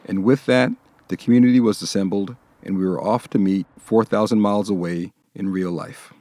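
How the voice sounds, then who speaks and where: A man narrating a documentary in a measured, scripted voice-over. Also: lowish voice, fairly even intonation, dark tone, a little hiss or hum.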